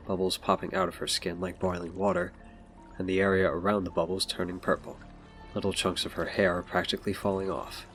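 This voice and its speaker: A man's voice: low voice, a bit bright. A man delivering scripted narration as the narrator of an audio drama.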